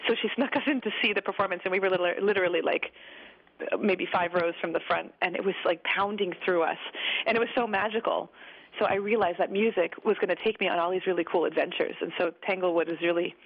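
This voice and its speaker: A woman guest on a radio interview, rattling off stories at a brisk clip. Her manner is chatty and animated, and she laughs easily. The broadcast sound is a little dull and muffled. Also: lowish voice. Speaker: guest opera singer. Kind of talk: radio interview, casual storytelling.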